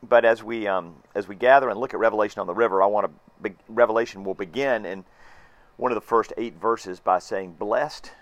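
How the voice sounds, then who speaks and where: Hoarse pastor preaching. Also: male voice, on the low side, brisk, dry recording. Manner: earnest, reflective, with restarts and emphatic repetition. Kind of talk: sermon.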